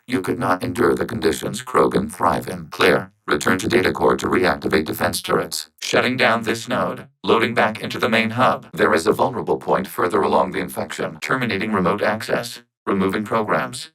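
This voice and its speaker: Low, emotionless synthetic robot. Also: male voice, animated, a bit bright, slight background noise, rough recording. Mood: flat, analytical. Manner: measured, formal, precise, emotionless machine delivery. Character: calm, logical male-voiced synthetic robot, a networked machine collective. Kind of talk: scripted video game character acting.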